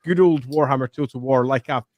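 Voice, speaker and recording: A man's voice: mid-range voice, fairly quick, a little mellow, no echo. A middle-aged man co-hosting a casual live stream, playful and theatrical, chatting and laughing easily. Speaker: co-host.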